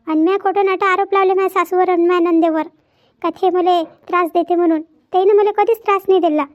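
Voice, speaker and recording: Woman doing young married daughter in a cartoon drama, super high childlike voice, vidarbha marathi accent, halting and pleading, kinda muffled audio. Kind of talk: amateur cartoon family drama.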